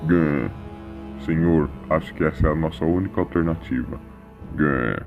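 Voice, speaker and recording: Very deep, grunting alien soldier. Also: male voice, normal speed, phone-quality sound, over music. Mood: encouraging. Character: gruff alien soldiers and their proud, grumbling leader. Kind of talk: audio drama character voice.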